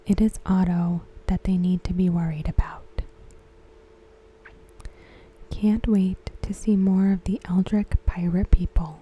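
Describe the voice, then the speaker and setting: A woman giving ASMR commentary in a hushed, gentle, breathy, whispery voice. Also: lowish voice, fairly even intonation, muffled recording. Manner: hushed, gentle, unhurried delivery. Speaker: ASMR content creator reviewing a TV episode. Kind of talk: ASMR soft-spoken commentary.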